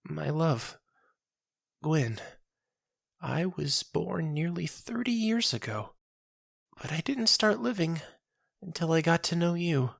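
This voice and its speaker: A performer voicing an anguished dying woman of about thirty, a grieving wife and mother who pleads in drawn-out phrases, in an audio drama. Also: medium pitch, very animated, creaky, no room sound, clean studio sound, pitched above the performer's own voice, heavily acted. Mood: tender sorrow.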